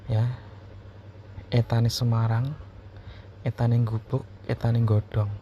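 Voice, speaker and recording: A man's voice: medium pitch, little pitch movement, slow pace, mellow. A man with a Central Javanese accent chats casually to his viewers, explaining things and often repeating himself to check they follow. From Purwodadi Grobogan, Central Java, Indonesia. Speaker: YouTuber answering viewers' questions. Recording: muffled, lo-fi, faint background noise.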